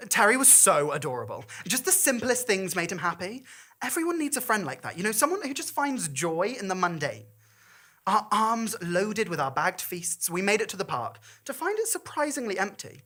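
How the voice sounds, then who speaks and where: A woman's voice: mid-range voice, big pitch swings, normal speed, bright, forceful tone. A woman performing a comic storytelling monologue in a wry, campy, theatrical manner.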